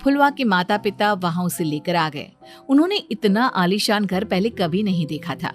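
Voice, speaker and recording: A woman's voice: mid-range voice, moderate pace, faint music behind. Woman narrating a bedtime cartoon story. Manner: calm, storytelling.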